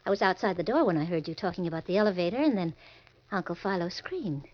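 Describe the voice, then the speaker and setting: A woman's voice: lowish voice, expressive, fast, lightly acted. An actress playing a scheming, money-hungry young woman with a babyish voice and a coy, coolly mocking manner in an old-time radio drama. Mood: coolly wary. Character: scheming young femme fatale, sweetly menacing, money-hungry. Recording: dull vintage radio recording.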